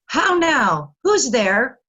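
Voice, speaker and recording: A woman's voice: sits high, sing-song, very slow, sharp tone, slightly rough, dull audio, exaggerated voice. A woman playing an anxious, kindly, loyal elderly tenant in a Shakespeare reading. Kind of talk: remote readers' theatre Shakespeare performance.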